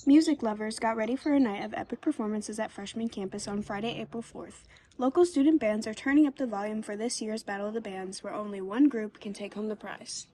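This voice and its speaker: A teenage girl reading a scripted student news report in a steady, upbeat way. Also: medium pitch, brisk. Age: teens.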